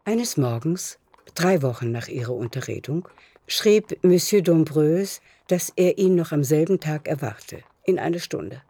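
Mellow low-voiced woman narrator. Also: expressive, measured pace, slightly rough, dry recording. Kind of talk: scripted literary narration for radio drama.

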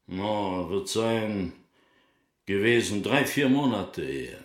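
A man's voice: fairly low pitch, expressive, takes it slowly, reverberant. An actor in a radio drama playing a blunt, distrustful middle-aged shopkeeper and father with a Yiddish accent, in terse, inverted phrases. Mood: indignant, dismissive. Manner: terse, inverted syntax, answering questions with questions.

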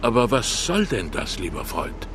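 An older man playing an elderly, excitable, self-mocking exiled poet in an audio drama, with a theatrical, emotional delivery. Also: on the low side, normal speed, slight background noise. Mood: astonished.

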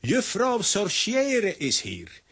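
An excitable comic hero in a children's radio play. His voice is high and husky, swinging up and down as he blusters and stammers, though the words come slowly. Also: full character voice. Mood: flustered, eager. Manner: stammering, exclamatory, flustered.

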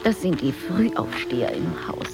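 An actress playing a wry, easily irked dreaming woman who narrates her dream in a hushed, deliberate radio-play monologue. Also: lowish voice, lively intonation, over loud music, lightly acted. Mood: irritated. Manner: hushed, deliberate, musing, with dramatic swings.

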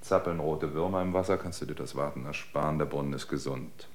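An observant travelling reporter in a radio drama. His voice sits low. He speaks in a measured, reflective way. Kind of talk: radio drama narration and dialogue.

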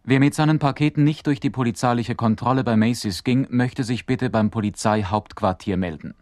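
An actor playing a sober radio announcer reading an urgent police bulletin in a formal news style. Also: male voice, medium pitch, a little fast, darkish tone, close to an everyday voice.

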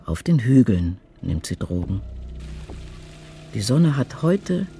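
An actor reciting as a grim narrator of apocalyptic visions in a radio play, declaiming in incantatory short phrases. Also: male voice, medium pitch, sing-song, slow, vocal fry, dark tone, soft background music, exaggerated voice. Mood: intense, stark.

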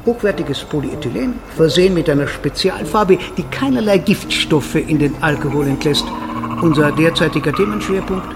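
An officious factory director, his voice on the higher side. He is formal, proud and easily put on the defensive, in a radio play with loud music underneath. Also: animated, moderate pace. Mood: pompously proud. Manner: pompous, formal. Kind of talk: radio crime drama acting.

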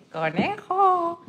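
A woman's voice: very high voice, fairly bright tone, a little hiss or hum, heavily acted. A woman playing a teasing, menacing girl in a pet shop in a children's radio play, drawing out her taunts.

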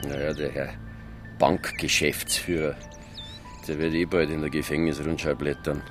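Casting: sardonic Bavarian tramp, low-pitched, playful, mock-grand, radio drama over light music. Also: male voice, level tone, moderate pace. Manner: wry, mock-pompous. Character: sardonic, playful small-town male vagrant. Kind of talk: naturalistic radio drama dialogue. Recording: music behind the voice.

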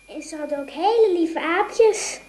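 A woman's voice: extremely high pitch, expressive, unhurried, darkish tone, poor audio. A woman playing an eager, curious, chatty little girl in a radio drama. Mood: chatty, excited.

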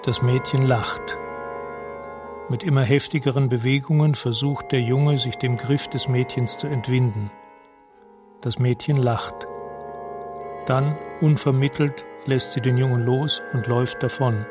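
A man's voice: mid-range voice, steady tone, measured pace, over music. A man narrating a radio drama in a detached, precise, scene-setting way.

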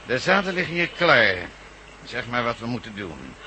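An actor playing a firm, businesslike police commissioner in a radio drama, with an official, deliberate manner. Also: male voice, medium pitch, sing-song, pushed and bright, exaggerated voice. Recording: noisy old radio drama recording.

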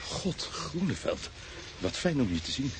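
A man's voice: mid-range voice, very animated, unhurried, background noise. An actor playing a frightened alderman at the end of his nerves in a Dutch radio drama, agitated and pleading.

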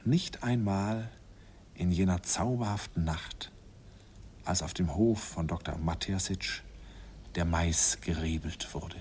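A man's voice: deep voice, takes it slowly, a little mellow, slight background noise. An actor narrating a radio play as a middle-aged man fondly recalling his first love, in a wistful, reminiscing way.

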